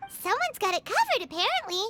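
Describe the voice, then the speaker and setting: A woman voicing a tiny, chatty, excitable childlike fairy companion in a game cutscene. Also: very high-pitched, a little fast, noisy background. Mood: eager. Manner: refers to self in third person, brash. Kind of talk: animated game cutscene voice acting.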